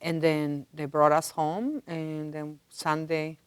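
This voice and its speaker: Female interview guest, slightly low voice, casual conversation, dry studio sound. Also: flat delivery, slow, clear, resonant tone, no echo. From Madrid, Spain. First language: Spanish. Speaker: interview guest, university director.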